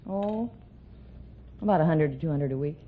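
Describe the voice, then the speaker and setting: A woman's voice: deep voice, animated, normal speed. A woman, a quiz contestant, giving polite, plainspoken interview answers. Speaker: quiz contestant, greeting card writer. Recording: noisy muffled 1940s radio recording.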